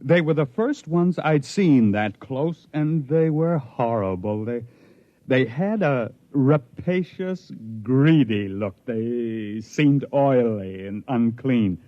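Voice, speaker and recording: A stranded spaceship captain recounts his ordeal like classic 1950s radio drama. He is haunted and obsessive, swinging widely in pitch between brooding pauses. The old recording is dull and close, with no room sound. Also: expressive, no echo. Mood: grim, intense.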